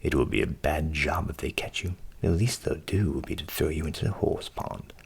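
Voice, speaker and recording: A man's voice: very deep voice, moderate pace, gravelly, husky, pitched below the performer's own voice, heavily acted. A man voicing a grave, canny countryman in a storybook, solemn and warning.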